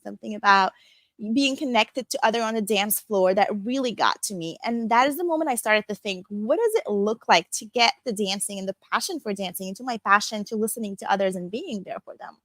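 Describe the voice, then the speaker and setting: A woman in her thirties from Lebanon, a coach and trainer, speaking reflectively with small pauses as an interview guest. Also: mid-range voice, a bit bright, no echo.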